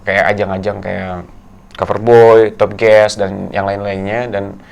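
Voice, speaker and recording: Young guy from tegal, low gravelly voice but smooth, halting, lots of ums. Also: little pitch movement. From Tegal, Central Java, Indonesia. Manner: soft-spoken, halting with many fillers. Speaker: guest actor. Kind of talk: casual interview.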